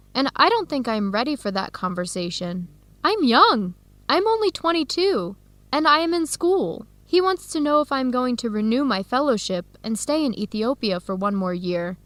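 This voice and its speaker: A woman's voice: on the high side, a bit bright, no echo. A young woman acting an earnest, disheartened student in her early twenties in a radio drama, enunciating carefully for language learners. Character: disheartened 22-year-old Ethiopian-American woman student, earnest, torn.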